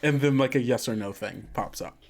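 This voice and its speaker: A game master leading a tabletop roleplaying game, narrating and reading rules in a relaxed, hesitant way with many fillers. The voice sits at a middling pitch. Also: fairly quick.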